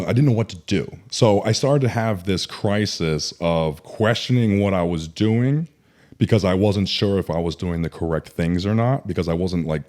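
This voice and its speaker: A man in his thirties talks in a low, deep register. He tells his story openly and casually, with plenty of fillers and false starts. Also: a little mellow. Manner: candid, rambling with many likes and restarts. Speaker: bodybuilder guest.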